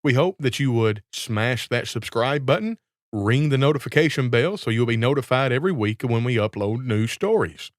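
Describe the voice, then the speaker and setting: A male storyteller narrates a classic fairy tale from a script. His voice sits at a medium pitch. The recording is dry and close, with very little room sound. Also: animated, normal speed, dry recording.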